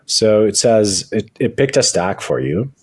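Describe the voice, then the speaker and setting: A man with a husky voice speaking as a guest in an interview, explaining things in a halting way with frequent restarts. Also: fairly low pitch, very animated, darkish tone, no echo. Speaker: guest, tech company founder and CEO. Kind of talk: interview conversation with software demo.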